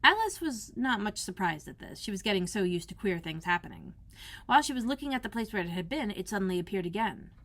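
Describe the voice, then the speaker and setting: Female storybook narrator for children: animated, careful delivery, noticeable vocal fry. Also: mid-range voice, fast talker. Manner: careful, expressive reading. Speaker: school librarian reading aloud.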